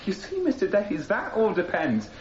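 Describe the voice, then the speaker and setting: A man's voice: high-pitched, wildly swooping pitch, normal speed. An actor playing a defiant young male anarchist defendant cross-examining police in a radio drama, insistent and accusatory, with sarcastic formality. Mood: pressing. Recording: slightly dull, noisy radio recording.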